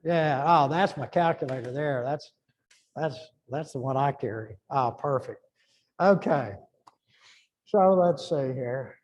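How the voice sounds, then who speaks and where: A man's voice: on the high side, a little mellow. A middle-aged man giving an informal engineering lecture, thinking aloud and restarting phrases as he works through numbers.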